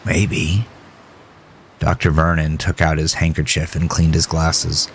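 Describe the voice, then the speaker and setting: A man's voice: very deep voice, completely flat delivery, moderate pace, slight background noise. A man narrating a scripted horror story.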